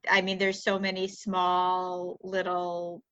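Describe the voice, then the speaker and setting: A woman's voice: lowish voice, completely flat delivery, slow, fairly bright tone. A woman hosting a library podcast in casual chat, enthusiastic and chatty, with frequent fillers. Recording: dull lo-fi audio.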